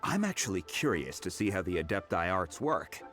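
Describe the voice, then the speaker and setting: An easygoing male craftsman from a video game. He is fairly low and very animated in pitch, casual and reassuring, with clear music underneath. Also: moderate pace. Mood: relaxed, confident.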